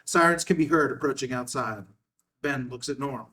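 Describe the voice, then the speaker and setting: A man's voice: mid-range voice, moderate pace, bright, forceful tone. A man narrating scripted stage directions for a screenplay read, recorded at some distance from the microphone.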